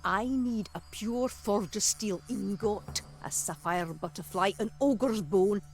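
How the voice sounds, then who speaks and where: A cantankerous, centuries-old female smith from a fantasy game. She speaks at a middling pitch, curt, haughty and bossy, over soft music. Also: takes it slowly. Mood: instructive.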